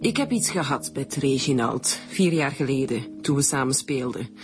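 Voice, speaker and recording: Brash Flemish woman, animated delivery. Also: rumbling low, moderate pace, soft background music. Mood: confiding. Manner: defiant, swearing. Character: brash, evasive married woman suspected of murder. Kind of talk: radio drama acting.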